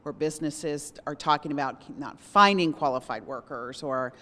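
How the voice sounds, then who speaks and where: A woman reporting her table's discussion at a community seminar, measured and dotted with ums. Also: sits low, a bit bright, big-room sound.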